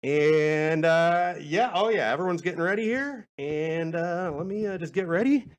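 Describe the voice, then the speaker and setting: A man's voice: fairly high pitch, normal speed. A man hosting a podcast in casual, rambling chat, loose and informal, thinking aloud as he goes. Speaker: podcast host and amateur musician. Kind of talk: casual rambling chat between live songs. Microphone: podcast mic being moved around in a group music session.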